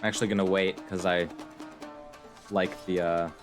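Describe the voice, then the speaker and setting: A man's voice: sits low, flat delivery, normal speed, music in the background. A man giving casual commentary as he streams a video game.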